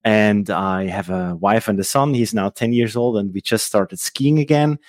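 Swiss German-accented male guest, low pitch, dry close mic, relaxed halting delivery. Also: no echo. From Switzerland. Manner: hesitant, many fillers and restarts. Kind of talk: casual tech chat.

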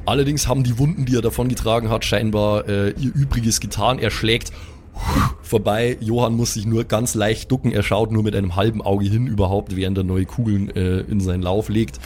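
A man improvising the narration of a tabletop role-playing game, descriptive and pausing as he invents. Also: lowish voice, steady tone, a little mellow, slight background noise. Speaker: game master.

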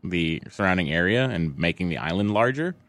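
Deep voiced boston guy just chatting, dry sound. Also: animated, a little fast, fairly bright tone, no echo. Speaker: guest. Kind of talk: casual chat.

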